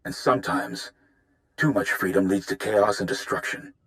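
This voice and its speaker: An actor playing a seasoned, watchful warrior advisor in a video game, speaking in a measured, grave way. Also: male voice, lowish voice, fairly bright tone. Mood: grim, resolute.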